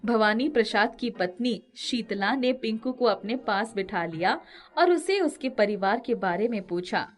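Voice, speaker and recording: A woman narrating a scripted children's moral story with expressive delivery. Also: on the high side, unhurried.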